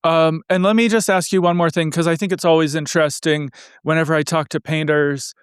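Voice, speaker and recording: A man's voice: on the high side, steady tone, fairly quick, smooth, dry recording, clean studio sound. A man hosting an interview, asking long, meandering questions full of hedges and fillers. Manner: rambling, hedging questions full of you know and like. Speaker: interviewer, show host. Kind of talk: casual interview conversation.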